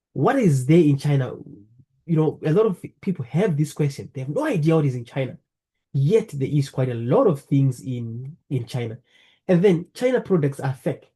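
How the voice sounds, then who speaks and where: Zimbabwean man presenting, husky mellow voice. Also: on the high side, very animated, moderate pace. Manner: earnest, repeats phrases, frequent fillers. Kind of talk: presenting a talk over slides. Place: lives in the UK.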